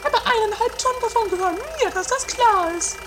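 A fussy, bickering comedy sister acted out by an actor, very high and shrill with swooping, lively pitch. The dramatic voice sits in a loud, noisy amateur tape. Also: a little fast. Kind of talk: improvised trashy comedy radio play.

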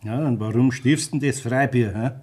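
Male actor as middle-aged Bavarian tavern crony; husky mid-pitched voice, wry and colloquial, radio play. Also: moderate pace, darkish tone, natural voice. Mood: teasing, amused. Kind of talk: dialect radio drama acting.

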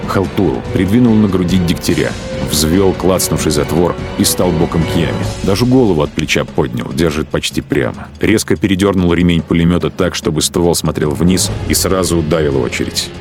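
A man with a very deep voice narrates a literary radio drama. His delivery is measured and sombre. Music is clearly present beneath his words. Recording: music bed under narration.

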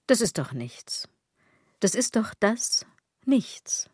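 A woman's voice: mid-range voice, big pitch swings, slow, darkish tone, husky, dry recording, clean studio sound, full character voice. An actress playing a sardonic, rambling woman in a literary radio drama monologue, ironic and insistent, often correcting herself. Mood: wry musing. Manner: ironic, self-correcting, insistent rhetorical delivery. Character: sardonic, rambling woman brooding on fashion and clothes.

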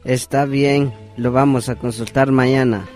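Young Guatemalan man, medium pitch, welcoming village friend, acted by a man, radio drama, faint music. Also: fairly even intonation, measured pace, lightly acted. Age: young adult. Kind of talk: amateur community radio drama acting.

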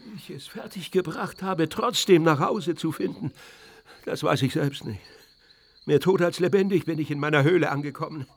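An actor playing a pompous old eagle owl in a children's radio play, formal and theatrically aggrieved. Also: male voice, a little high, normal speed. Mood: weary, grave.

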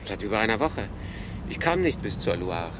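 Cheeky, lively-voiced streetwise refugee. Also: male voice, on the low side, moderate pace. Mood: offhand, cheeky. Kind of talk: radio play dialogue. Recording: noisy street ambience with traffic.

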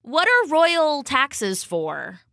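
A woman's voice: high voice, wildly swooping pitch, very slow, vocal fry, bright, forceful tone, no room sound, clean studio sound, voice pushed up, exaggerated voice. A woman voicing a cheerful, determined little male duck in a children's storybook, polite and eager with a sing-song quack. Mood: puzzled.

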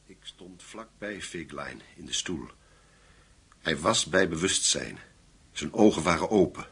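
An actor playing a grave German investigator in a language radio drama, with a gravelly, husky voice. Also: male voice, sits low, lively intonation, measured pace, fairly bright tone, heavily acted. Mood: grave, reflective. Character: grave German man investigating a wartime secret.

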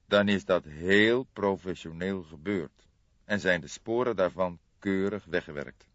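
Man playing a slow, deep old inspector. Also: fairly bright tone, no room sound, dull audio. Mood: sombre, thoughtful. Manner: slow, measured, thoughtful. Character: calm, deliberate, sombre old male police inspector.

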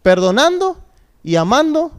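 A man preaches as a youth pastor. His voice sits high and sounds smooth and resonant. He leans on repetition and asks the congregation to say lines back with him. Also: big pitch swings, measured pace. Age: 30s. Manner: preaching with call-and-response, emphatic repetition. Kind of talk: sermon.

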